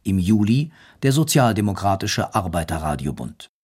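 A man's voice: deep voice, sing-song, normal speed, darkish tone, husky. A man reading scripted narration for a radio documentary.

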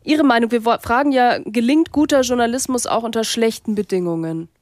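A woman's voice: on the high side, brisk, darkish tone, no room sound. A woman hosting a radio phone-in, asking polite, probing questions. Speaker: radio host. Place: radio studio.

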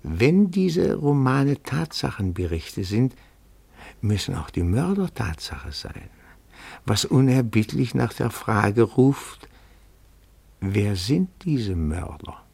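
An actor playing a timid, pedantic petty-bourgeois amateur detective in a radio play, speaking formally and deferentially with meticulous phrasing. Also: male voice, mid-range voice, big pitch swings, slow pace, creaky, darkish tone, slightly rough, dry recording, heavily acted.

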